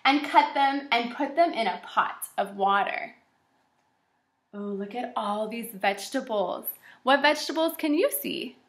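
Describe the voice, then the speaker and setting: A woman in her twenties reading aloud and teaching young children as a kindergarten teacher, in an encouraging, animated way. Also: on the high side, unhurried. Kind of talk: children's read-aloud lesson. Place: small indoor room with shelves and whiteboard. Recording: echoey room.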